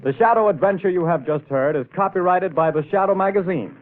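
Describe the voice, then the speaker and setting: A 1930s radio announcer, a man with a slightly high voice who reads his formal lines at a brisk clip. The broadcast sound is thin and tinny. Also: loud music behind the voice.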